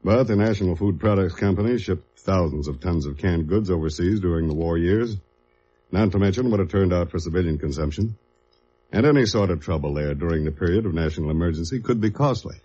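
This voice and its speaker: Brief: brisk government chief, American, deep mellow bass, 1950s radio acting, dull recording. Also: male voice. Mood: insistent. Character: gruff, patriotic chief investigator briefing his agent. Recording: muffled old radio recording.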